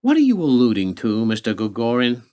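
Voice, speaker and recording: An actor playing a self-assured government official in a radio play, polite, smug and evasive. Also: male voice, mid-range voice, wildly swooping pitch, fairly quick, dark tone, clear, resonant tone. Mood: guarded, evasive.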